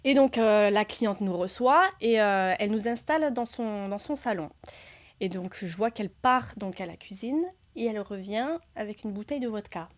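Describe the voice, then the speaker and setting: A young woman co-hosting a real estate podcast, casually telling a lively anecdote with frequent fillers and laughs. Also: mid-range voice, normal speed. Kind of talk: casual storytelling chat.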